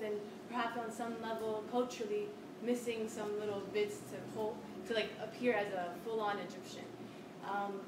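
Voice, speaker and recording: A woman's voice: a little high, background noise. Woman, earnest community speaker, heartfelt; echoey room, distant mic. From United States. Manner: earnest, heartfelt, with frequent ums. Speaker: invited speaker. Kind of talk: public talk at a community meeting.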